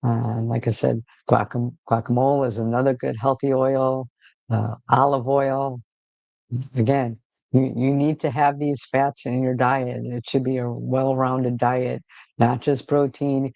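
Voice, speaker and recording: Gravelly-voiced man chatting on a livestream. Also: medium pitch, a little slow, dry recording, muffled recording. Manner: rambling, relaxed, frequent fillers and restarts. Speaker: livestream host. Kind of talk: casual livestream chat.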